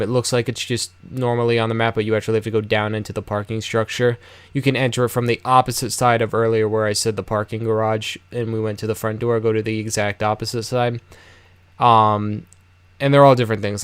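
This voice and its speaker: A man's voice: on the low side, clear, resonant tone, no room sound. An American man giving casual game walkthrough commentary in a rambling, offhand, conversational way. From United States. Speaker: video game guide host.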